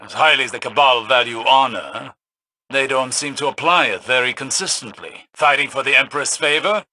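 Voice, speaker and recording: An austere scholar in a game, proud and dour. His speech is clipped and precise, in a mid-range voice with a very bright, tense edge. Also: mid-range voice, normal speed, a little hiss or hum. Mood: dry, brooding. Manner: clipped, dry, formal, precise. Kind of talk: scripted video game mission dialogue.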